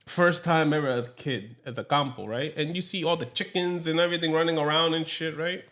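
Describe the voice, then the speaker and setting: A man's voice: on the high side, fairly quick. A young man co-hosting a podcast, telling stories in casual chat with frequent laughter and Spanish mixed into his English. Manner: laughs often, slips into Spanish, animated storytelling. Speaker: podcast co-host.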